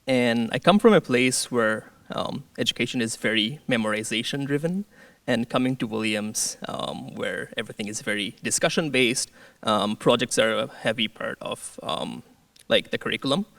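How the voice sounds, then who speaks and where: A man's voice: mid-range voice, normal speed, a bit bright, no room sound, distant mic. A young man from Bangladesh, a student peer advisor, introducing himself on a panel with frequent fillers and restarts. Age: young adult. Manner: hesitant, many fillers and restarts.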